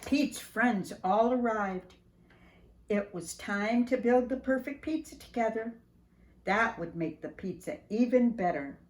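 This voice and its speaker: An older woman reading a picture book aloud to young children in an animated, sing-song way, speaking right to them. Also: fairly high pitch, a little slow, reverberant, low-quality recording. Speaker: preschool staff storyteller reading to children.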